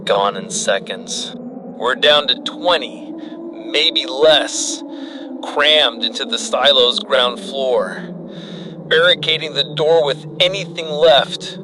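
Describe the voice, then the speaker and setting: A man narrating scripted horror dramatically and gravely, in clipped, halting phrases with heavy pauses. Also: mid-range voice, background noise.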